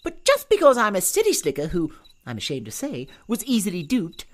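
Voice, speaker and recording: A man playing a polite, naive city-slicker gentleman fish in a cartoon Western, with prim, formal, wordy speech. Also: sits high, huge pitch swings, brisk. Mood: apologetic. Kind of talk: audio drama acting, cartoon Western.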